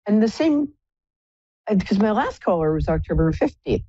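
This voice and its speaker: A woman's voice: big pitch swings, moderate pace, dark tone, breathy edge, no room sound, lo-fi sound. Effusive contralto woman psychic host. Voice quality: low contralto voice. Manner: chatty, effusive, calls callers pet names. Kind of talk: live call-in psychic reading.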